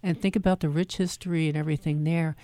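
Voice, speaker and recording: A woman host with a South Jersey accent talks in a chatty, conversational way. Her voice is low and mellow. The sound is dry and close. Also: steady tone, quick pace, no room sound. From South Jersey, near Philadelphia. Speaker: show host. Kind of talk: casual interview chat.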